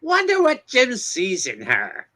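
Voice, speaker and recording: A woman's voice: fairly high pitch, huge pitch swings, slow pace, bright, forceful tone, full character voice. A woman playing a brash, nosy young country club gossip in an amateur reading of a romance comic, slangy in manner. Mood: snide.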